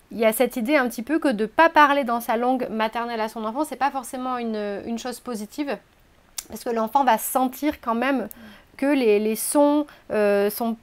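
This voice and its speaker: Woman from France, podcast interviewer, sums up answers, probing questions, somewhat high voice. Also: a little slow, smooth.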